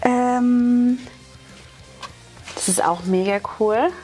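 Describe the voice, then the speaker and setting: A woman's voice: fairly high pitch, extremely slow, over music. A woman, chatting casually and playfully as host of a shopping vlog, often repeating herself. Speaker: host of a DIY channel. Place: inside a drugstore.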